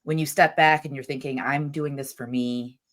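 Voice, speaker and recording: A woman hosts a podcast with a notably low voice. She talks in a chatty, enthusiastic way, her sentences full of fillers and restarts. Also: fairly even intonation, moderate pace. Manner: chatty, enthusiastic, uses "like" a lot. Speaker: podcast host. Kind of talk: casual interview chat.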